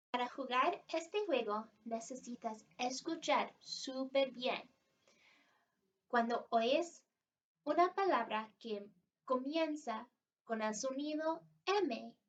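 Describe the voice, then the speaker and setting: A woman's voice: high-pitched, a bit bright. A woman speaks to young children in an encouraging, exaggerated teacherly way. She takes her time, leaving room for answers. The recording is a little muffled, with her voice at some distance from the microphone. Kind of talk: read-aloud and interactive lesson for young children. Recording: dull, distant microphone sound.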